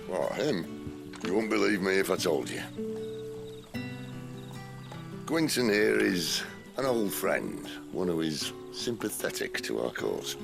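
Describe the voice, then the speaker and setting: An actor playing a gruff, wry middle-aged rebel leader in a dark fantasy game, with teasing, unhurried authority. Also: male voice, very animated, music in the background, exaggerated voice. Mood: affable. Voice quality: gravelly, deep. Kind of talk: video game cutscene acting.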